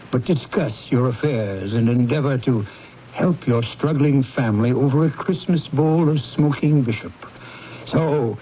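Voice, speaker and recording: A man's voice: mid-range voice, slight background noise, close to an everyday voice. A man playing a reformed old miser, merry and mock-stern, in theatrical 1970s radio drama style. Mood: joyful. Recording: muffled 1970s radio broadcast, faint music.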